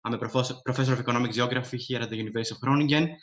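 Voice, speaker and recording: A man's voice: medium pitch, fairly even intonation, very fast. A man from Greece with a Greek accent, a professor introducing himself on a video-call panel in a light, self-mocking way. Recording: dull lo-fi call audio.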